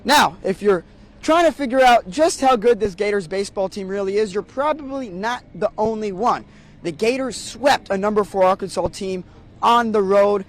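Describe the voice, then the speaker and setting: A man's voice: high voice, normal speed. A young man doing sports broadcast commentary as a play-by-play announcer and reporter. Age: young adult.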